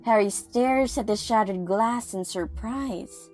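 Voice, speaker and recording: Woman, theatrical narrator, highish pitch, unhurried, suspenseful pauses, audio drama, background music. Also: a little mellow.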